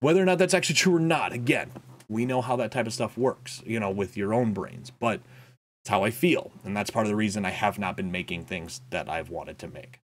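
A young man with a slightly low voice speaks candidly to his viewers in a personal update. He is reflective and halting, pausing on fillers and restarting his sentences. He is recorded close on a desk microphone in a small home room. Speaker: content creator. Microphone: boom-arm desk mic, wearing headphones.

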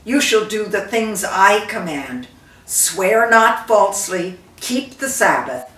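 A woman's voice: medium pitch, expressive, takes it slowly, fairly bright tone. An older woman voicing an earnest, proud prince pleading formally to rule in a story. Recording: roomy, distant microphone.

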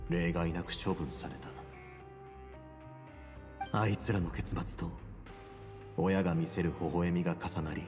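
A man playing a cool, brooding young fortress warden in a game, speaking in a measured, grave, self-possessed way. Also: deep voice. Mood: grim, bitter. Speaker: game character. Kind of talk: dramatic game dialogue.

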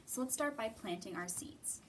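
A woman's voice: on the high side, lively intonation, normal speed, recorded from a distance, slight background noise. A woman in her thirties hosting storytime for children, giving simple instructions addressed to young listeners. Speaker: children's library storytime host. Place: indoor library room.

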